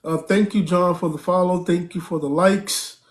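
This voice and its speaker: A man's voice: high voice, fairly even intonation, normal speed. A man hosting a live stream, chatting casually, thanking viewers and stopping often with fillers.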